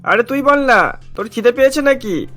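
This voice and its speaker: A man's voice: very high voice, animated, normal speed, a bit bright, soft background music. A man voicing a rude, arrogant rich male customer in a cartoon, bossy and contemptuous toward the poor. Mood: scornful.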